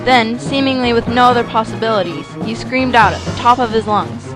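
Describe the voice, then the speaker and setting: A woman's voice: a little high, a little fast, over music, low-quality recording. A woman reading scripted, dramatic story narration for an amateur audio drama.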